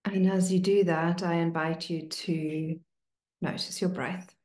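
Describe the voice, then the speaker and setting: Slow, hushed woman guiding meditation, roomy sound. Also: fairly low pitch, fairly even intonation. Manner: hushed, soothing, with long pauses between short phrases. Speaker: meditation teacher. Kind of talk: guided meditation, slow and spoken to listeners.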